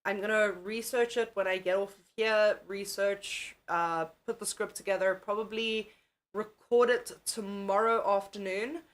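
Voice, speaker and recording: A woman's voice: medium pitch, steady tone, measured pace. A young woman from South Africa with a mixed South African accent, chatting casually and giggling with viewers as a tired livestream host. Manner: giggly, chatty, swears freely. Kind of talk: casual live chat with viewers.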